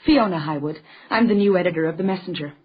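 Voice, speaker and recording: A woman's voice: on the low side, fast, slightly rough, muffled recording. A woman playing a confident young newspaper editor in a period radio drama, forthright and wry, with teasing banter. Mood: brisk, teasing.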